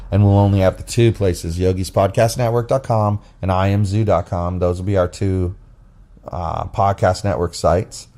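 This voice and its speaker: A man with a deep, bassy voice hosts a casual podcast and pitches in low. He rambles through his points with restarts and fillers. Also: darkish tone. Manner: rambling, loose delivery with many restarts and fillers. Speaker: podcast host. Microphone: phone app recording.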